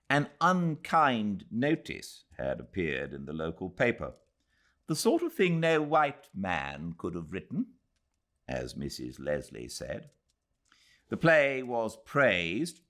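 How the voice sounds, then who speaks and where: A British man narrating a literary classic as an audiobook reader, with measured, dramatic phrasing and expressive delivery. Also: fairly low pitch. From Britain.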